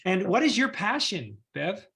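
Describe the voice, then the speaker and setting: A man's voice: high-pitched, sing-song, unhurried, gravelly. Enthusiastic man hosting a group call. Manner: warm enthusiastic with fillers. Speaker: community call host. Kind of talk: casual upbeat group call.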